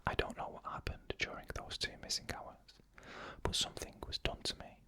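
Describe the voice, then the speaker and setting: An ASMR storyteller reading first-person tales in a fully unvoiced, hushed whisper, slow and even.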